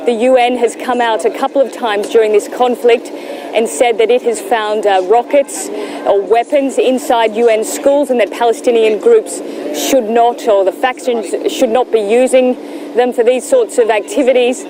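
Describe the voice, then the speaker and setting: A woman reporting live as a news correspondent. Her voice sits on the high side, and she explains in a measured way. There is clear background noise of vehicles on location. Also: fairly even intonation. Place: on location in Gaza.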